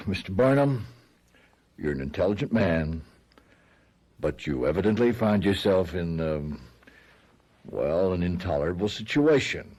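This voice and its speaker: A methodical, disbelieving sheriff in a radio play. His voice is deep, and he takes his time with dry, measured phrases and doubtful pauses. The manner is stern. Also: animated, a little mellow. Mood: skeptical, patient. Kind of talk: radio drama acting.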